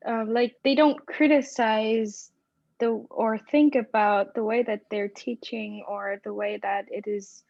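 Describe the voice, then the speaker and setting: A woman's voice: a little high, fairly even intonation, takes it slowly, gravelly, no room sound, muffled recording. A young woman from South Greenland, who grew up with Kalaallisut as her native language, speaking earnestly with many fillers on an online youth panel. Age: young adult. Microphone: video call.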